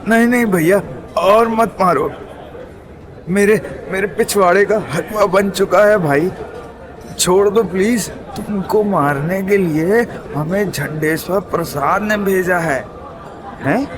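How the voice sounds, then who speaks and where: Man acting a high-voiced, stammering cowardly goon. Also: crowd noise, low-quality recording. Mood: pleading, sheepish. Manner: halting, stammering. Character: cowardly, pleading male street goon.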